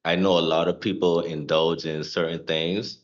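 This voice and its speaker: A man from South Carolina with a Gullah Geechee accent, chatting as a guest in a laid-back, halting way. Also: sits low, level tone, dull audio. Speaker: guest streamer and cook. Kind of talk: casual interview chat.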